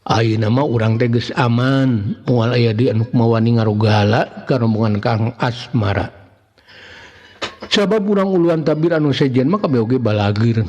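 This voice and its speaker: A man with a Sundanese accent voicing a resolute wandering hero in a folk tale, firm and measured. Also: medium pitch, animated, a little mellow, natural voice. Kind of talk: folk tale character dialogue. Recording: long reverb, dull muffled sound.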